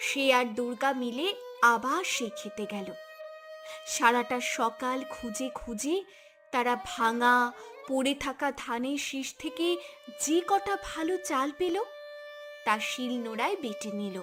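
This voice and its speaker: A woman's voice: high voice, measured pace, music in the background. A woman narrating a scripted cartoon story.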